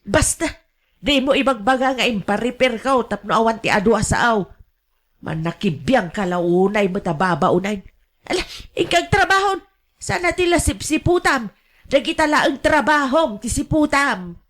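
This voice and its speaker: High-pitched brash older mother played by a woman. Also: very animated, moderate pace, fairly bright tone. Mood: irritated, scolding. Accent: Filipino. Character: brash, scolding older mother who gambles and begs money. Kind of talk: Ilocano radio drama acting.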